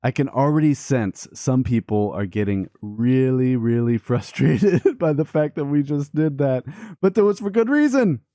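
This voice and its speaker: A man's voice: mid-range voice, moderate pace, no room sound, clean studio sound. A man giving casual, playful Let's Play commentary as the host of a gaming video. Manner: playful, excitable.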